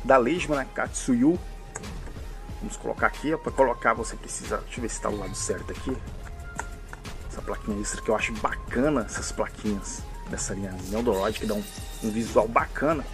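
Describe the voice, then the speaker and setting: Man with a mixed São Paulo and Rio accent, casual enthusiastic reviewer, off-screen camera mic, light music bed. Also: mid-range voice. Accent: mixed São Paulo and Rio de Janeiro Brazilian Portuguese. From Brazil. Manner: chatty, fills with "né?" and "hein?", enthusiastic. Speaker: toy reviewer.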